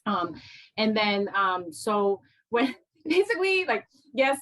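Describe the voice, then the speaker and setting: A woman's voice: mid-range voice, big pitch swings, fairly bright tone, low-quality recording. Woman in an interview, co-owns a restaurant, grew up in hong kong, vancouver and LA, lots of ums and likes. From Hong Kong, Vancouver and Los Angeles. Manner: chatty and halting, with many "um" and "like". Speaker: restaurant co-owner. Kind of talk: casual interview answers.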